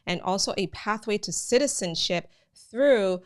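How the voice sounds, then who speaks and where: A woman's voice: medium pitch, animated, unhurried. A woman with a Jamaican accent, hosting a live immigration news channel and talking casually to her viewers, often calling them guys. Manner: chatty, addresses viewers as guys, many fillers.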